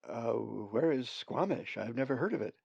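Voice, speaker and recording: A man's voice: mid-range voice, animated, normal speed, gravelly, a little mellow, husky, dry recording, highs cut off. A man voicing a curious young male raven, asking hesitant questions. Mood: puzzled.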